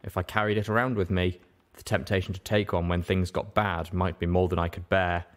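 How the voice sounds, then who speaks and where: A man's voice: low voice, little pitch movement, fairly quick. A man reading a first-person story aloud as scripted narration. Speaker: audiobook reader.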